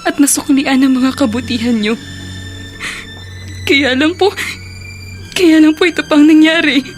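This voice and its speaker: A woman's voice: high-pitched, unhurried. A woman acting a meek, respectful young niece in a Filipino radio drama, speaking politely and earnestly. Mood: ashamed, earnest. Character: dutiful, respectful young working student niece. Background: faint background music.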